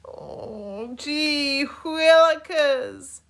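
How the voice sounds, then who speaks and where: Woman putting on a slow, groaning, sulky boy. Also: high-pitched, voice pushed up. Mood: groaning, fed up.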